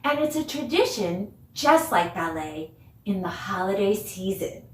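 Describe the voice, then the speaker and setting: A woman giving an encouraging, deliberate dance lesson for children, demonstrating as she teaches. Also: mid-range voice, sing-song. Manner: mixes English with simple Spanish phrases. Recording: echoey room, distant microphone.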